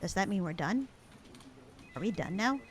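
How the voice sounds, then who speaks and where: A woman playing a bored, sarcastic, slightly flirty secretary in a casual ASMR audio roleplay, with a dry, deadpan, teasing delivery. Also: medium pitch, moderate pace, slight background noise, lightly acted. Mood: dry, indifferent. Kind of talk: ASMR roleplay acting, casual one-sided conversation.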